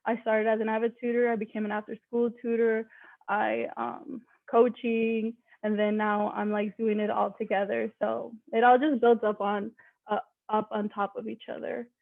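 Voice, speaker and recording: A woman's voice: fairly high pitch, little pitch movement, normal speed, gravelly, dry recording. A woman from Mexico, a native Spanish speaker, speaking casually as a math teacher on a panel, with many likes and ums. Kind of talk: panel discussion. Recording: tinny dry phone-like line.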